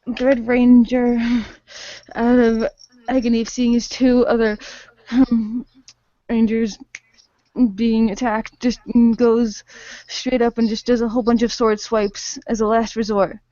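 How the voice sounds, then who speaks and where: A woman narrating an improvised role-play game, haltingly making it up as she goes. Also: fairly high pitch, flat delivery, dark tone, no room sound, lo-fi sound.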